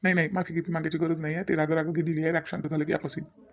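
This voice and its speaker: A man voicing a lovesick, dutiful young husband in a cartoon drama, soft-spoken and repetitive, with a Varhadi Marathi accent. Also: a little high, level tone, rapid-fire. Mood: reassuring. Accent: Varhadi Marathi from Vidarbha. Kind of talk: cartoon family drama dialogue.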